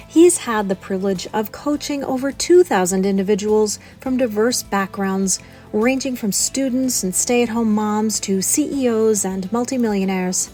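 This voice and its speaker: A woman hosting a podcast, reading a scripted introduction in formal, measured phrases. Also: mid-range voice, darkish tone. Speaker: podcast host. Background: music.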